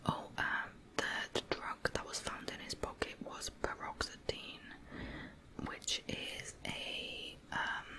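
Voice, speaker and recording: Whispering woman, ASMR true crime host. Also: slow pace. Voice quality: unvoiced whisper.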